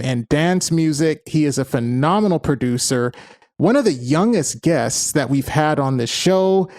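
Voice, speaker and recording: A man's voice: fairly high pitch, darkish tone, no room sound, studio quality. A man hosting a podcast interview. He opens with upbeat, announcer-style introductions and asks follow-up questions. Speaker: podcast host. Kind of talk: hosted interview.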